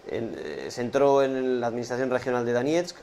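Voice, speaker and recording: A man's voice: medium pitch, expressive, fairly quick, darkish tone, a little hiss or hum. A man giving a matter-of-fact documentary interview.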